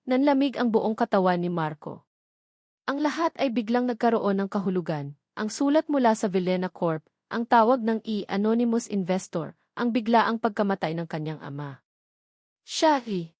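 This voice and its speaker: A woman narrates a scripted story dramatically, in short, measured, suspenseful phrases. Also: medium pitch, expressive, darkish tone, no echo, clean studio sound.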